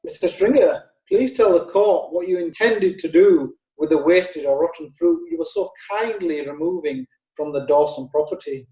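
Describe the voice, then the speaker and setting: A man's voice: on the high side, mellow, natural voice. A man playing a formal, distant prosecutor. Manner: brisk, formal questioning. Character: businesslike male prosecutor. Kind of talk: amateur radio drama. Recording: echoey room, distant from the mic.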